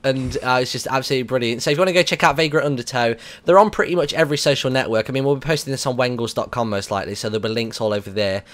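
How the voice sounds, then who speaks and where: A man's voice: medium pitch, rapid-fire, hardly any pauses, fairly bright tone. A British man hosting a casual radio interview, chatty and informal, rambling a little as he sets up questions.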